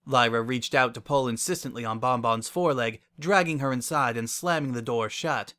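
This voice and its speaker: Bright, forceful male story narrator. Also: mid-range voice, normal speed, clean tone. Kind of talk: scripted dramatic narration.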